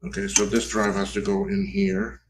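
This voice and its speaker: A man's voice: on the low side, sing-song, moderate pace, gravelly, a little hiss or hum, rough recording. A man in his fifties chatting casually on a livestream while repairing old computers, rambling and correcting himself as he works. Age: 50s. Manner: rambling, self-correcting, talks while tinkering.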